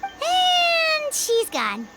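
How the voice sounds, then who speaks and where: A woman voicing a tiny, chirpy, curious childlike fairy sidekick with a squeaky voice in a video game. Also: wildly swooping pitch, extremely slow, heavily acted. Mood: concerned. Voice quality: squeaky, very high-pitched. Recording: loud background music under the voice.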